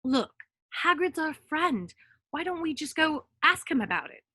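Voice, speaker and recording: A woman plays an earnest, loyal schoolgirl witch in a fantasy script reading. Her voice is high and extremely bright, with lively, insistent swings. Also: unhurried, heavily acted. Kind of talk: amateur online table read of a screenplay.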